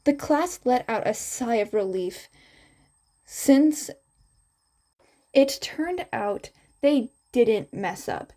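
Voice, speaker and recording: A woman's voice: on the high side, lively intonation, drawn-out words, pauses often, mellow. A woman reading a story aloud as scripted narration. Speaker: podfic narrator.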